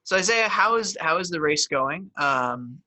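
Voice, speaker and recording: A man hosting an interview, asking questions in a halting way with many fillers. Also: fairly high pitch, expressive, pushed and bright. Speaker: interviewer, host. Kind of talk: remote interview.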